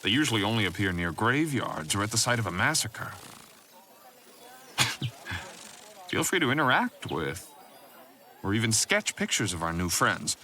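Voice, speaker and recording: A man's voice: lowish voice, big pitch swings, normal speed, pauses often, pushed and bright. A man playing a learned, enthusiastic and kindly professor of magic, lecturing formally and eagerly in a fantasy audio drama. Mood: delighted, explaining.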